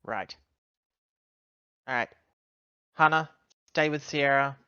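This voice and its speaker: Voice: medium pitch, slow, pushed and bright, clear, resonant tone, no echo, lo-fi sound. A player chatting casually and speaking in character during a tabletop roleplaying game.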